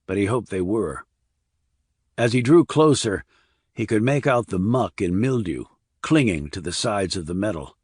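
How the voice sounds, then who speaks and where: A man's voice: fairly low pitch, no echo, studio quality. A man narrating a crime thriller audiobook as a scripted reading.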